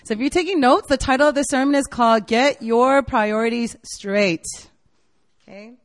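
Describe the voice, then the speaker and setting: A woman's voice: on the high side, moderate pace, in a large hall. A woman in her late twenties, a campus ministry staff member, giving a casual, enthusiastic sermon to college students in a university meeting room, full of "like" and "right?". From United States (Virginia). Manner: chatty and enthusiastic, filler-heavy with frequent "like" and "right?".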